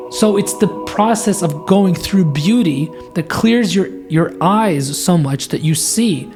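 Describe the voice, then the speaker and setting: A man with a scratchy voice, a deacon explaining church worship in earnest, thoughtful conversation, building his points through repetition. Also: a little high. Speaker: deacon guest.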